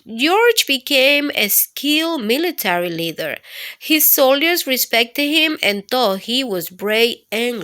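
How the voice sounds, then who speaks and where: Woman reading to kids, higher-pitched voice. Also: a bit bright. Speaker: children's storytime host and reader. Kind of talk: read-aloud educational narration for kids.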